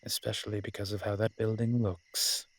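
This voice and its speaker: A man playing a wry, flirtatious immortal incubus demon in a roleplay audio drama, with a husky voice and a dry, teasing, conversational manner. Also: on the low side, level tone, normal speed, lightly acted. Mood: wry, stalling.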